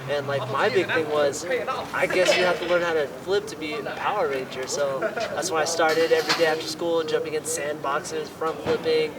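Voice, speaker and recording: A man's voice: a little high, steady tone, normal speed, over a noisy crowd. A nineteen-year-old man talking casually about parkour in an interview, rambling with lots of "I mean" fillers, recorded outdoors on a campus. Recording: distant mic, busy outdoor noise.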